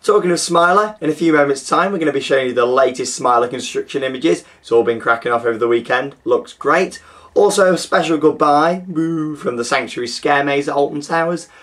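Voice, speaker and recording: A young man hosting a theme park news show, his voice groggy and hoarse, chatting enthusiastically with plenty of fillers. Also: on the high side, a little fast, fairly bright tone. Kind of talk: casual scripted news presenting.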